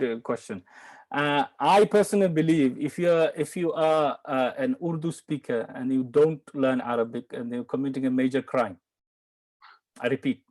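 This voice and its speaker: A man from Bangladesh answering questions in an online Quran lesson, patient and explaining slowly with repeats and apologies. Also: fairly high pitch, darkish tone. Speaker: Quran teacher.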